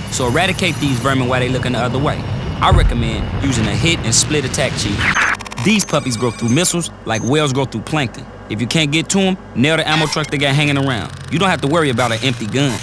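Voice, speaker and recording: A man's voice: medium pitch, animated, quick pace, very noisy, lightly acted. A man playing a wisecracking military briefer. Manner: brash, joking, slangy. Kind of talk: video game cutscene briefing.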